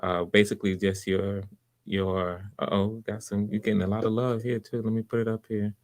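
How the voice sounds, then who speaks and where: A man hosting live auditions in casual, unscripted chat, with an encouraging manner and a halting way of repeating and backtracking. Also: fairly low pitch, little pitch movement, no echo. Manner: encouraging, supportive. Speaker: host running live voice auditions.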